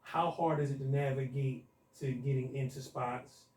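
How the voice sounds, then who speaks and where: A man hosting a radio talk show, putting opinionated questions in a measured way. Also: medium pitch, fairly even intonation, a little mellow, reverberant, distant mic, poor audio. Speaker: radio host. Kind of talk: radio interview.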